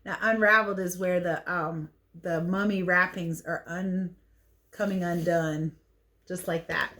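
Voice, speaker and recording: A woman reading a picture book aloud to children like a teacher, expressive and chatty, with casual explanatory asides. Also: on the low side, measured pace.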